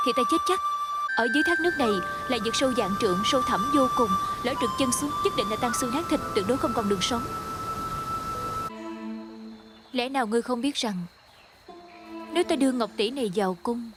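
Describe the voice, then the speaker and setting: Young female healer, fantasy costume dub, caring yet bossy, lightly high voice, prominent score behind. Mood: teasing scolding, then stern warning. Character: young female healer of a secret tribe, caring but scolding. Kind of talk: dubbed fantasy costume drama acting.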